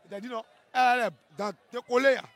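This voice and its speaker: A high-pitched man with an Ivorian accent commentates a fight live. He talks very fast in loose, colloquial bursts, repeating himself and checking that his listener follows. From Ivory Coast. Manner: excitable, repeats phrases, frequent tag questions.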